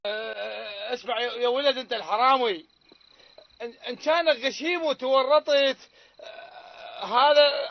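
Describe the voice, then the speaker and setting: A man voicing a halting, blustering comic cartoon character with an Iraqi accent, issuing warnings. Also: very high-pitched, gravelly. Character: croaky, hesitant householder warning off a thief. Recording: dull muffled lo-fi sound.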